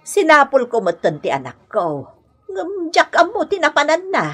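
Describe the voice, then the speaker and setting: Exasperated Filipino mother, highish voice. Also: wildly swooping pitch, moderate pace, fairly bright tone, faint music behind, full character voice. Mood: disapproving. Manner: lamenting, scolding. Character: exasperated scolding middle-aged Filipino mother. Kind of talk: radio comedy drama acting.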